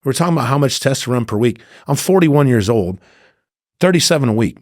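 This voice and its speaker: A man's voice: mid-range voice, animated, fast, a little mellow, dry recording, clean studio sound. A middle-aged man telling casual anecdotes as a podcast guest, acting out both sides of conversations. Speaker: podcast guest, former undercover agent.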